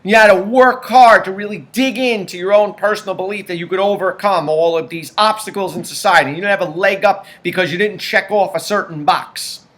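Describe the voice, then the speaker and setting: A man's voice: high voice, fairly quick, few pauses, fairly bright tone. A man hosting a political commentary show, talking emphatically and stacking up points in lists.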